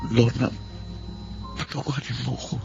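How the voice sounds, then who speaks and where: An actor playing an earnest, pleading younger Filipino husband in a radio drama. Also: male voice, medium pitch, little pitch movement, moderate pace, vocal fry, natural voice. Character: remorseful, pleading younger husband caught cheating. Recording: dull lo-fi sound, music underneath.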